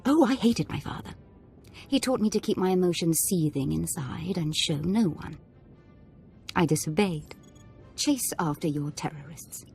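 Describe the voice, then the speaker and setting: A very low female voice for a video game villain. She is an imperious Sith heiress who gives orders with seething control. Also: expressive, measured pace, gravelly, a little mellow, faint music behind. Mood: seething, commanding. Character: imperious Sith lord's daughter, seething and commanding.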